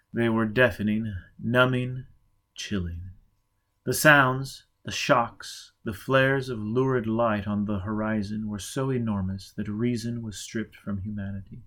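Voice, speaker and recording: A man reading a novel aloud as audiobook narration, with measured, dramatic pacing and rhythmic pauses. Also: fairly low pitch, a bit bright. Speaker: audiobook reader.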